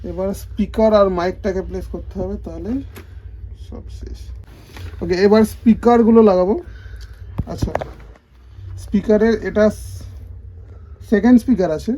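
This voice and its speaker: A man with a soft-edged, mellow voice and an Indian Bengali accent explains a practical job. His delivery is that of a casual demonstrator working through steps by hand. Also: high voice, fairly even intonation, unhurried, long pauses, a little hiss or hum, poor audio. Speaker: installer demonstrating. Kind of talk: casual hands-on tutorial.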